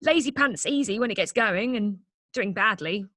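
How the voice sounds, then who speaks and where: Casting: actress for British woman artist, loyal pal, blunt and breezy. Forward, bright tone, close dry sound on a video call. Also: medium pitch, lively intonation, moderate pace, dry recording. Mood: breezy, wry. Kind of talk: script table read. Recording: video call table read.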